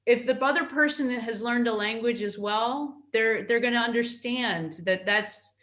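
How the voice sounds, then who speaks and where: A middle-aged woman from New York, a consul answering interview questions in a halting way, with many fillers and restarts. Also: fairly high pitch, a bit bright, reverberant. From New York, United States.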